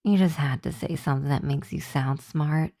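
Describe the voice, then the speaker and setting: Woman doing a teasing vampire college girl, asmr roleplay, mellow slow voice, very dry close sound, playful. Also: sits low, no room sound. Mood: teasing, argumentative. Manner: playful, teasing, drawn-out delivery with breathy asides.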